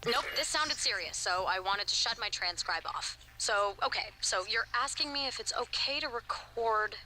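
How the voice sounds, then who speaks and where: Blunt, assertive space-station woman, very crisp forceful tone, dry teasing, naturalistic video game log. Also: on the high side, animated, normal speed, slight background noise, low-quality recording. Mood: guarded.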